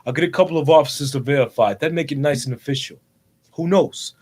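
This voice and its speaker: A man playing a kindly, patient policeman father talking warmly and conversationally with his young son. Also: fairly high pitch, fast talker, slightly rough. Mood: caring.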